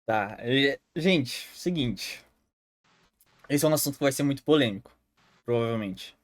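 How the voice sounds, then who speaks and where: Casual host, dry close sound. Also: male voice, mid-range voice, expressive, unhurried, dry recording. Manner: casual slang, nervous joking. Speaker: podcast host. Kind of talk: casual podcast chat.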